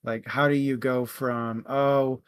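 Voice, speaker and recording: A young man co-hosting a casual book discussion show, welcoming viewers in a relaxed way and laughing easily. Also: medium pitch, takes it slowly, clear, resonant tone. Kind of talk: casual chat.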